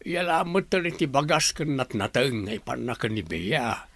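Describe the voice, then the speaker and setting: A sick, weary Ilocano family man in a radio drama. His voice is gravelly and husky, recorded dry and close, and it swings widely as he frets and complains. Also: mid-range voice, animated, moderate pace, fairly bright tone, no echo. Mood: weary, worried. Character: ailing, weary, stubborn working-class father and husband.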